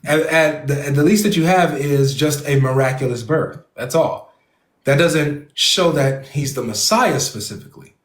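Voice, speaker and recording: A man hosting a live online debate, leaning on one point and circling back to it with question after question. His voice sits a little high for a man and rings in an echoey room. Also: moderate pace. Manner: probing, presses a point with repeated questions. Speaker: debate host.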